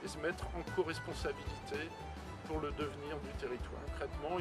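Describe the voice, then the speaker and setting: Guy doing explainer voiceover, slightly high voice, music really loud underneath. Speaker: narrator of a presentation video. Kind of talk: scripted explanatory narration.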